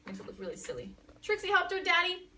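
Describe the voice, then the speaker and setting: A woman with a high, bright voice reads a children's story aloud, swinging her pitch widely. She is expressive and dramatic, and breaks off to put simple questions to a child. The recording is distant from the mic and noisy. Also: fast talker. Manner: expressive, with dramatic gasps and emphasis. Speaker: adult reading aloud to a young child. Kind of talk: animated picture-book read-aloud with chatty questions to a child.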